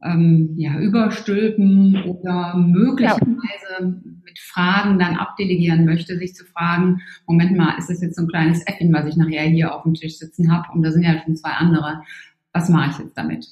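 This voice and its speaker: Calm interviewer in an echoey room. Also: medium pitch, moderate pace. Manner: calm, affirming, asks with hesitations. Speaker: podcast host, interviewer. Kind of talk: conversational interview. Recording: echoey room, slightly dull sound.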